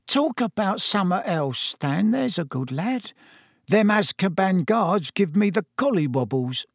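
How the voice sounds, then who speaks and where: Man doing a gloomy, slow older bus driver. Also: sits high, sing-song, creaky, no room sound, clean studio sound, voice pushed up, full character voice. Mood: uneasy. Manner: slow, dark, grunting, terse.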